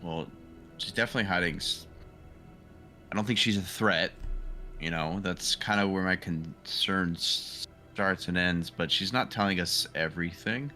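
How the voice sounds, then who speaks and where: Low, forceful male tabletop player. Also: normal speed, soft background music. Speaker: player in a tabletop roleplaying game. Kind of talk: casual in-character roleplay.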